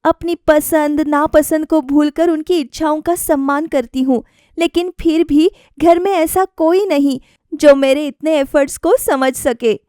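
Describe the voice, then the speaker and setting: A woman's voice: high-pitched, fairly even intonation, unhurried, mellow, no echo, studio quality. A woman voicing a wistful young daughter-in-law eager to please, earnest and plaintive, in a story. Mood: hurt, plaintive.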